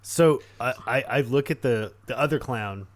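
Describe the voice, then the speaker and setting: A man's voice: mid-range voice, normal speed. A man playing a tabletop role-playing game in a casual, theatrical and wry way. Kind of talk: casual in-character role-play.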